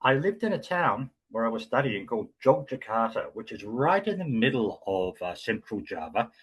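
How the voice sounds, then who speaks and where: A man with an Australian accent giving an explanatory talk as guest speaker, measured and with frequent fillers. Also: mid-range voice, big pitch swings, breathy edge. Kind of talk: lecture-style talk.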